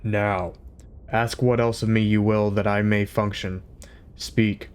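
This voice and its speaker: A man voicing a grandiose, ponderous male supercomputer that speaks solemnly and deliberately. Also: fairly low pitch, level tone, smooth. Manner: solemn, measured, pronouncing.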